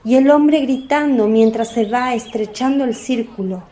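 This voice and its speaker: A woman's voice: a little high, moderate pace, mellow, some chatter behind. A woman narrating a scripted religious radio drama as a storyteller.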